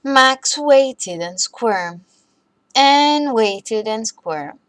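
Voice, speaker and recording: A woman reading a children's bedtime story aloud in an expressive, deliberate way for young listeners. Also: on the high side, no room sound.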